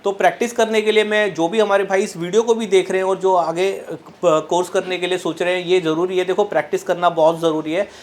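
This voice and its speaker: A man's voice: sits high, fairly even intonation, a little fast, few pauses. A man interviewing students in a repair training class, talking casually and repeating himself. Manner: chatty, repeats "so guys, as you can see".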